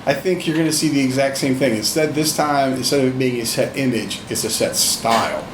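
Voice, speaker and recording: A man's voice: medium pitch, brisk. Man in his fifties, echoey noisy room. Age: 50s. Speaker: veteran tattoo artist, podcast guest. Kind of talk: casual shop-talk conversation. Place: indoors in a tattoo shop. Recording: roomy, noisy room with hum.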